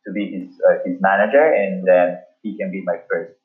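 A middle-aged man from Ecuador speaks with an Ecuadorian Spanish accent and a lowish voice. He tells his story haltingly, full of restarts and fillers. The sound is thin and phone-like. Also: steady tone. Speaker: sports agency founder. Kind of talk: interview storytelling. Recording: tinny phone-like line.

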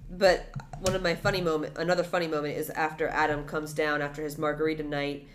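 A young woman with a slightly low voice, chatting casually about a TV show. She talks in an animated, rambling way. A faint hiss of background noise sits under her voice. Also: fast talker. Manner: animated, rambling, jumps into exaggerated quoted voices. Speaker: podcast co-host.

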